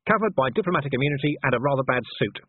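A man playing a shrewd, sardonic city commander in an audiobook, smooth and needling in manner. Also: mid-range voice, lively intonation, very fast, no room sound, narrow, tinny audio, voice pushed up. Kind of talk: audiobook character voice.

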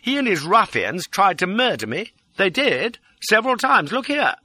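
A man's voice: high voice, sing-song, normal speed, sharp tone, husky, dry recording, pitched above the performer's own voice, full character voice. A man voicing a desperate, wheedling English rogue who pleads in an overwrought, exclamatory way, as in a dramatic audiobook. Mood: desperate pleading. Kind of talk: dramatic audiobook character acting.

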